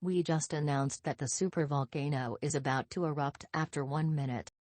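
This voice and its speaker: A synthetic woman's voice reading scripted emergency broadcast announcements in a stiff, impersonal, measured way. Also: deep voice, fairly even intonation, darkish tone, dry recording.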